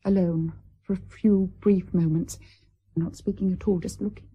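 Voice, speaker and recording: An actress playing a proud, nervous, wounded estranged wife, middle-aged, with a British accent and a formal, hesitant manner in a period radio drama. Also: female voice, mid-range voice, steady tone, normal speed, breathy edge, highs cut off, lightly acted. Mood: pleading, wistful.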